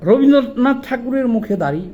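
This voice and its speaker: A man's voice: very high-pitched, lively intonation, quick pace, muffled recording, a little hiss or hum. A man with a Bangladeshi accent giving informal video commentary, indignant and argumentative, posing rhetorical questions in colloquial speech. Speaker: social commentator.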